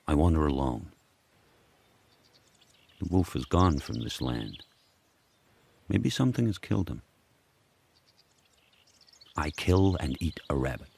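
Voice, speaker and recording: An actor voicing a powerful, solitary dog turning wild, telling his story in terse, measured present-tense sentences for a radio drama. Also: a bass voice, animated, gravelly, a little mellow, husky, heavily acted. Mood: grim, primal.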